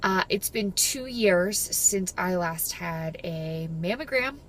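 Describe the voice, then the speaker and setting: A woman in her forties talking to her followers in a personal vlog, earnest and measured, with pauses between phrases. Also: fairly low pitch, expressive, fairly bright tone, slight background noise, low-quality recording. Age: 40s. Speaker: vlogger.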